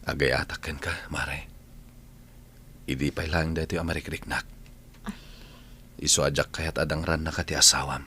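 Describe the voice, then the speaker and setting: A devoted, lovestruck family friend in a Filipino radio drama, acted by a man. His voice is deep and Filipino-accented. Faint noise and ambience sit behind him. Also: level tone, moderate pace, long pauses, bright, forceful tone. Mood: tender, earnest.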